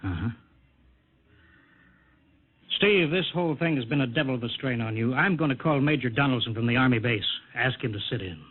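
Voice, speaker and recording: An actor playing a gruff, blunt older chief of a test airfield in a 1950s radio drama. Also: male voice, medium pitch, fairly quick, pauses often, close to an everyday voice. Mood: stern. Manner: gruff, commanding. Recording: tinny old radio broadcast with hiss.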